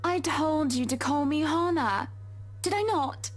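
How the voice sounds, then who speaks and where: A refined, composed woman from a visual novel game, as voiced by a woman. Her speech is formal and courteous. Her voice sits high. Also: a little slow. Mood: gracious.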